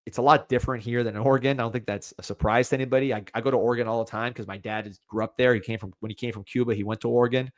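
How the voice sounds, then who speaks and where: A man's voice: medium pitch, steady tone, very fast, hardly any pauses, dry recording. A young adult man with a light Cuban-American accent hosting a casual livestreamed sports podcast, rambling with restarts and a lot of "man.". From United States. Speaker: podcast host.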